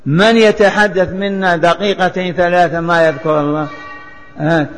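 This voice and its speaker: A man's voice: sits high, fairly even intonation, normal speed. A man teaching a religious lesson, chanting the text in a sing-song and having it repeated. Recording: long hall reverb.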